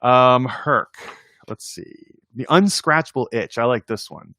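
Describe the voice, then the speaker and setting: A man hosting a casual read-aloud of children's poems, playful and expressive in verse, with informal chatty asides. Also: medium pitch, unhurried, dry recording, clean studio sound. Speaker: host reading poems aloud on a livestream.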